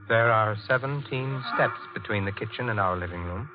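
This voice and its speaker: An actor playing a nervous, guilt-haunted husband in a tense, brooding old-time radio suspense drama. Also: male voice, lowish voice, highs cut off, over music, lightly acted. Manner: tense, brooding, deliberate confessional narration. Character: nervous, guilt-haunted husband and bird keeper narrating his crime.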